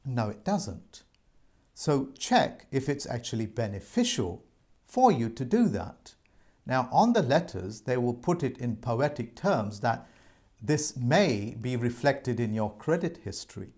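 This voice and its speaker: A man's voice: medium pitch, big pitch swings, a little slow, darkish tone. A man giving viewers earnest, repetitive advice in an informal monologue, addressing them as folks. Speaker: presenter giving debt advice.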